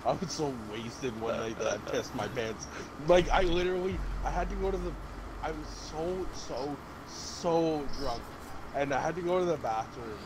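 A man's voice: on the high side, moderate pace. A man from Scotland chatting casually while gaming, sweary and repetitive as he rambles through stories, with game sounds behind him. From Edinburgh, Scotland. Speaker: game streamer. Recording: game noise behind voice chat. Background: shooter game sounds.

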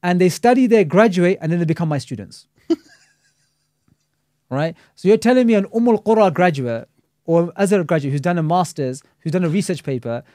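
Fast-talking man, mellow voice. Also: high voice, lively intonation, no room sound, clean studio sound. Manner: fast, critical, peppered with Arabic religious terms. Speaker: Islamic scholar and teacher. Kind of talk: casual podcast discussion.

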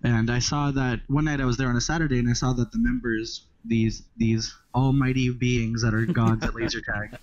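A man's voice: mid-range voice, fairly even intonation, normal speed, hardly any pauses, a little mellow, no room sound. Easygoing young man on muffled audio. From Southern California. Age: 20s. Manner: rambling, restarts phrases, easygoing. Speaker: podcast guest. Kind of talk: casual podcast chat.

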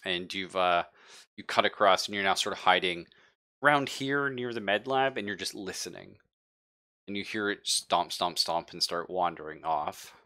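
A man's voice: low voice, expressive, moderate pace, sharp tone. A man speaking casually and informally as game master of a tabletop game. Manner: informal, profane.

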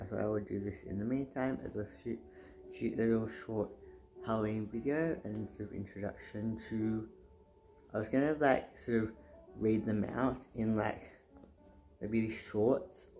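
Young man, soft deep voice, gravelly, hesitant rambling chat on a couch, camera close by, faint music behind. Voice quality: soft-spoken, says his voice is soft and deep. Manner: rambling, hesitant, many fillers and restarts. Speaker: booktuber. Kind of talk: casual chat. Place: living room, indoors, sitting on couch.

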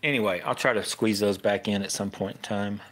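A man's voice: lowish voice, fairly even intonation, brisk, fairly bright tone. A middle-aged man with an American accent gives a casual, unscripted fishing tutorial as host, rambling informally and often interrupting himself.

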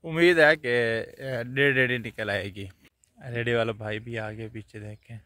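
Chatty village vlogger. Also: male voice, mid-range voice, fairly even intonation, a little slow, sharp tone. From Punjab, Pakistan. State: tired, out of breath after field work. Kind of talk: casual chat, talking to viewers. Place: open farm field outdoors. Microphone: phone held at arm's length.

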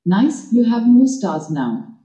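Lady app helper voice, super slow and really mellow, encouraging prompts. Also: a little high, sing-song. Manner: encouraging, instructional. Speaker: reading app voice assistant. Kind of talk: scripted app prompts and praise. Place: played from a device speaker in a room, picked up by camera. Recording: dull app playback in echoey room.

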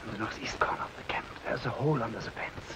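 A man's voice: medium pitch, fast talker, natural voice. A man playing an urgent whispering helper. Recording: noisy 1950s film soundtrack.

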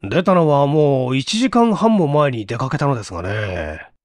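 A man's voice: medium pitch, expressive, moderate pace, darkish tone, no echo, studio quality, natural voice. A man playing a polite, fretful workshop assistant with a restless, questioning manner in a story reading. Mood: puzzled.

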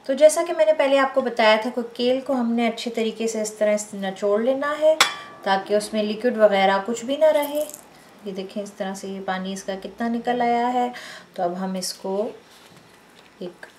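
A woman with a slightly high voice hosts a home cooking lesson. She explains each step in a calm, patient way and speaks straight to her viewers. A soft background hum sits under her voice. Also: normal speed. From Pakistan. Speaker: cooking show host. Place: home kitchen.

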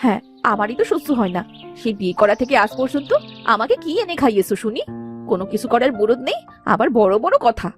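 A woman voicing a demanding, sharp-tongued young village wife in a cartoon folktale, nagging and sarcastic. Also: on the high side, big pitch swings, fast talker, soft background music. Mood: scornful, angry. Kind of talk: fairy-tale cartoon acting.

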